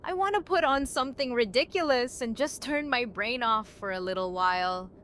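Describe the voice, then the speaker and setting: A woman's voice: fairly high pitch, moderate pace, fairly bright tone, slight background noise, lightly acted. A woman playing a tough, grieving young survivor in an audio drama, speaking in a blunt, weary way. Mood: worn out. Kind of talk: fan audio drama acting.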